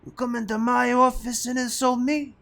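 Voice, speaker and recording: A high-pitched gangster boss for a comedy audio drama. He blusters and wisecracks, quick to take offense and obsessed with his food. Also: little pitch movement, vocal fry, fairly bright tone, exaggerated voice. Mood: indignant. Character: blustering Italian-American mob boss, food-obsessed, touchy.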